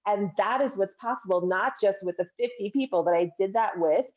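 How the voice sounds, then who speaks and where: A woman's voice: medium pitch, fairly quick, a little mellow. Woman, filmmaker guest, earnest, explanatory, slightly dull lo-fi sound. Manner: earnest, explanatory, some hesitations. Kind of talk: casual interview chat.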